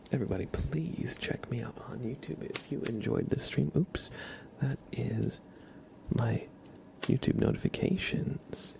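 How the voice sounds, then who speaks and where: A man hosting an ASMR livestream in a soft, hushed whisper and low murmur, gently and unhurriedly talking to his viewers. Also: unhurried, creaky, background noise. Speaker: livestream host.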